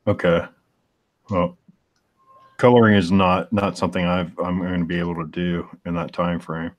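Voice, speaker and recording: A man's voice: low-pitched, level tone, normal speed. A man in his forties, a comic artist, chatting casually and thinking aloud as he searches for the right words. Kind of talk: casual chat on a live stream.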